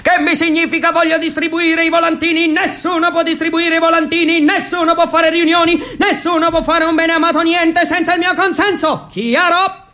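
A man's voice: very high voice, little pitch movement, moderate pace, few pauses, sharp tone, pitched above the performer's own voice. A man acting out a bossy, suspicious camp guard who barks questions and orders in a stage monologue. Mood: aggressive, domineering. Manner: barking, bullying, imperious questions and orders.

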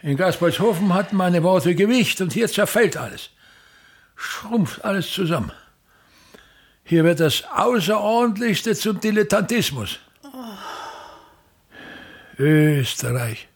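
An embittered, self-important theatre director in a radio drama. His voice sits rather high for a man, with a gravelly creak. He declaims querulously, hammering phrases home and pausing for weight. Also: expressive, exaggerated voice. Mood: bitter, ranting. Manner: declamatory, repetitive, querulous tirades with emphatic pauses. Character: pompous, embittered ageing male theatre impresario ranting at everything. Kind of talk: German-language radio play acting.

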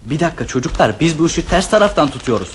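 A man's voice: a little high, expressive, racing, a little hiss or hum, natural voice. An actor in a radio play voicing a bossy, know-it-all teenage eldest brother who orders his siblings around. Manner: bossy and commanding.

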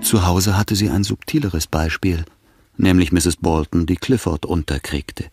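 Very deep male literary narrator. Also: fairly even intonation, unhurried, slightly rough, no room sound. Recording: studio narration over loud music. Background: music.

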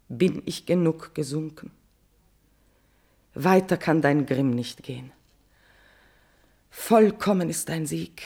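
Husky, tormented tragic queen. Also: low voice, exaggerated voice. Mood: hushed despair. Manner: impassioned, swinging from hushed despair to outcry. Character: tormented, guilt-ridden queen in classical tragedy. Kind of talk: declamatory classical German stage verse acting.

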